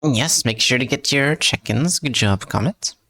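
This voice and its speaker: Man, English not his first language, casual streamer, stumbles over words, self-mocking, mid-pitched voice, dry close mic. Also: animated, a little slow, bright, forceful tone, no echo. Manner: stumbles and repeats words, answers chat with joking self-mockery. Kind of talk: casual live-stream chat.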